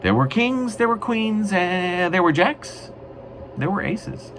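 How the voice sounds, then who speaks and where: A man reading his own writing as a poet, with deliberate, emphatic phrasing. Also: high voice, big pitch swings, fairly bright tone, a little hiss or hum. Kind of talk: dramatic poetry reading with casual spoken introduction.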